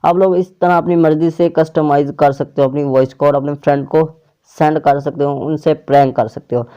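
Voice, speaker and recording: A man's voice: a little high, steady tone, fairly quick, warm tone, smooth, highs cut off. A man hosting a casual tech tutorial, explaining an app step by step to viewers. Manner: step-by-step instructions addressed to viewers.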